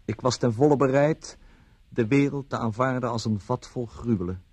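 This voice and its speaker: A man's voice: mid-range voice, normal speed, no echo, natural voice. An actor from the Netherlands playing a droll, world-weary bon vivant who narrates his own story in a radio drama. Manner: florid, droll, deadpan.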